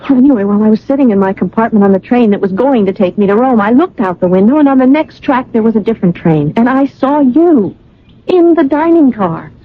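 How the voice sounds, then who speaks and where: A woman's voice: on the high side, animated, a little fast. Lovesick young woman, breathless pleading, radio drama, thin phone-like old recording. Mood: distressed, insistent. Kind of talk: radio drama acting with narration.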